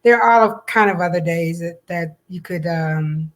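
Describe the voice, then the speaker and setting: A woman's voice: fairly low pitch, normal speed. A woman co-hosting a casual chat about an event, talking in a chatty, encouraging way. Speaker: co-host.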